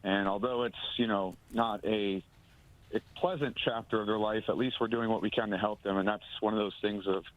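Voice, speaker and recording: A man, a moving company owner, speaking on a phone interview, halting with many ums and restarts. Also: lowish voice, fairly even intonation. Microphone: phone line. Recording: phone line audio.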